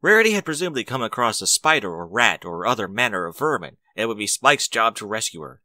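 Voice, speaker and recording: A man reading scripted story narration in an expressive storytelling manner. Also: on the low side, fast talker, no room sound.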